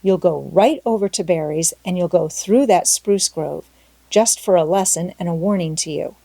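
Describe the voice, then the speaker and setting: A woman's voice: fairly low pitch, moderate pace, creaky, dark tone, no echo, poor audio. A woman voicing a stern, practical older farm woman who scolds in a firm, no-nonsense manner, as in a storybook. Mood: firm scolding. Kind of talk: storybook character voice in audiobook.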